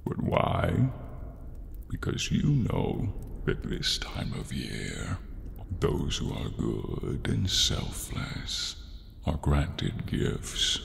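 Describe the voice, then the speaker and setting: A man playing a tall horned demon in an ASMR roleplay, breathy and gravelly, menacing and theatrical with a comic edge. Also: very deep voice, slight background noise, exaggerated voice. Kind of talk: ASMR roleplay, slow dramatic delivery.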